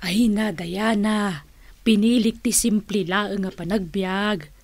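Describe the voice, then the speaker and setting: A woman's voice: mid-range voice, slow pace, gravelly. A woman in an Ilocano radio drama playing a wealthy, plain-living older aunt, playful and good-natured, drawing out her words with emphasis. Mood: warm, reminiscing.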